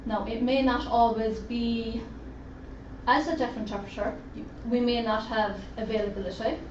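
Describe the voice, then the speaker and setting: Woman, interpreter voice, fairly high, distant dull recording with faint hiss. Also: fairly even intonation, normal speed, a little mellow. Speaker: sign language interpreter voicing a deaf presenter. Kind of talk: first-person interpreted presentation. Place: conference room at a roundtable event. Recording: roomy, distant, dull lo-fi sound with faint noise.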